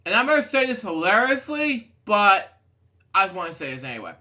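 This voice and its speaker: High-pitched man rambling through a storybook. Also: very animated, fairly quick, pushed and bright. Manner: rambling, digressive, often breaking off to comment. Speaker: storybook reader and show host. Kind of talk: casual read-aloud of a children's picture book with rambling asides.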